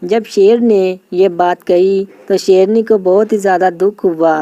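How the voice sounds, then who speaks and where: A woman's voice: mid-range voice, fairly even intonation, measured pace, dark tone, no room sound, dull audio. A woman narrating a scripted children's story as the storyteller.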